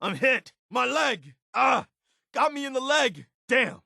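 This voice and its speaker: A man's voice: very high-pitched, sing-song, slow, gravelly, bright, forceful tone, slightly rough, no echo, heavily acted. A principled, battle-weary militia soldier from a video game. He is earnest and direct, with clipped military phrasing and a loyal streak. The sound is very dry, with no room around the voice. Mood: pained, strained. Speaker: video game companion character. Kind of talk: scripted game dialogue and combat barks.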